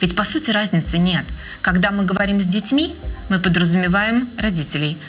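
A woman being interviewed as a researcher of children's radio, speaking in a measured, thoughtful way with frequent hesitation fillers. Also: mid-range voice, a bit bright. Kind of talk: interview answers.

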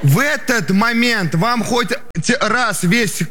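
A man's voice: very high-pitched, lively intonation, takes it slowly, very noisy. A man with a pronounced stutter performing live stand-up comedy.

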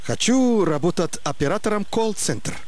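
A man plays a cheerful Nigerian job seeker with a thick put-on accent and broken Russian. He stammers and trips over words as he tries to sound confident. His voice sits fairly high for a man. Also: lively intonation, normal speed, slight background noise. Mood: bumbling. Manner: stumbling, mangles words, stammers. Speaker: prank caller.

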